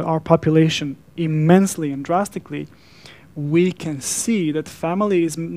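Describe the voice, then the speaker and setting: A man with a Serbian accent, native in Serbian, speaks in a slightly high voice with a strong creaky edge. He delivers an earnest talk as a speaker and coach. His wording is formal and deliberate, set off by emphatic pauses. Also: darkish tone. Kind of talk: earnest public talk to a digital audience.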